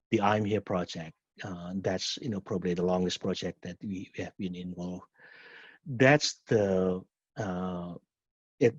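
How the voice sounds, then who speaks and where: A middle-aged man from Indonesia with an Indonesian accent and a husky voice, a professor answering questions at a webinar in a halting way, often repeating words and restarting. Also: lowish voice, a little mellow. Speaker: professor and program director. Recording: muffled, echoey webinar audio.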